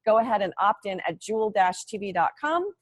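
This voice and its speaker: A woman's voice: medium pitch, lively intonation, brisk. A woman from Oregon hosting a casual live-stream chat, bubbly and chatty, laughing often. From Oregon, USA. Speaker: host.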